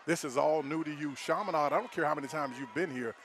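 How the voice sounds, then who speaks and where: Slightly high-voiced American football analyst man. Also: quick pace, a bit bright, slight background noise. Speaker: color analyst. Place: live at a football stadium.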